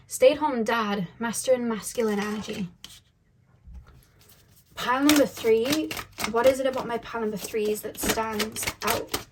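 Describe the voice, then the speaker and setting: A woman with a slightly high voice talks through a tarot reading at her desk at home. She is chatty and muses aloud, often repeating her questions to her spirit guides. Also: a little hiss or hum, low-quality recording. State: voice going a little deep. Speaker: tarot reader. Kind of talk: casual tarot reading to camera. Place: indoors at home.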